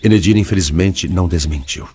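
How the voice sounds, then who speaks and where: Male spirit played by a man, low-pitched, sorrowful and resigned, measured dramatic audiobook delivery over background noise. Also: a little mellow, close to an everyday voice. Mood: wounded bitterness. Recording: clearly noisy background.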